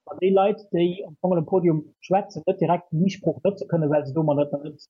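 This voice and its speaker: A man's voice: on the high side, fairly even intonation, fast, breathy edge, lo-fi sound. A man with a Luxembourgish accent, a guest on a radio program, explaining his work in a hesitant way with many fillers. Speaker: guest from a communication center. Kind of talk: radio interview answers.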